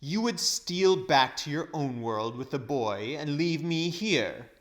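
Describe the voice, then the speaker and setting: A man reading a storybook, voicing a cruel, imperious witch who gives slow, loud, commanding threats. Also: fairly high pitch, animated, voice pushed up. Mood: menacing, commanding. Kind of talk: storybook character voice.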